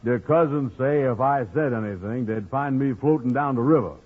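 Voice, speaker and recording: An actor playing a sheepish, gullible, slow-witted man in old radio comedy, speaking hesitantly in folksy dialect grammar. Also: medium pitch, natural voice. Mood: sheepish, evasive. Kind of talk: 1940s radio comedy acting. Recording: muffled, noisy vintage radio recording.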